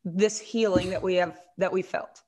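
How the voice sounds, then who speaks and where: A woman's voice: on the low side, steady tone, normal speed, a little mellow. A woman in her forties hosting a casual interview from her living room, asking chatty, friendly questions into a studio mic. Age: 40s. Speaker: host. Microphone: studio mic in view.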